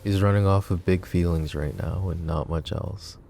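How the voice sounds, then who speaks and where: A man voicing a flirty, possessive and protective mafia boss in an ASMR roleplay, teasing and soft-spoken. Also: low-pitched, steady tone, moderate pace, vocal fry, a little hiss or hum. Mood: calm scheming.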